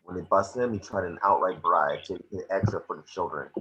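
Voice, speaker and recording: A man's voice: low-pitched, a little fast, pushed and bright, slight background noise. A man playing a blunt, commanding FBI chief in a screenplay table read. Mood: businesslike. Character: gruff, hard-nosed male FBI chief, blunt and commanding. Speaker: amateur actor in an online table read. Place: remote video call. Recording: muffled video call audio.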